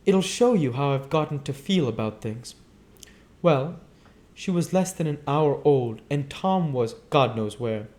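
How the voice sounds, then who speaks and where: A man's voice: mid-range voice, lively intonation, unhurried, a little mellow, pitched above the performer's own voice, lightly acted. A man voicing a jaded young society wife from a novel, with a breezy, dramatic, affected manner.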